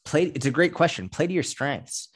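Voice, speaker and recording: A man's voice: a little high, animated, moderate pace, husky. A man in his thirties, a fitness coach, talking as a podcast guest in an emphatic, explanatory, casually sweary way. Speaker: fitness coach and content creator, interview guest.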